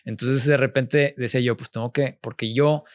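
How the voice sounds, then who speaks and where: A man, a guest architect telling stories in a relaxed interview chat. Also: mid-range voice, moderate pace, dry recording. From born in the United States, raised Mexican. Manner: relaxed storytelling, mixes in English words. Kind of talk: casual interview conversation.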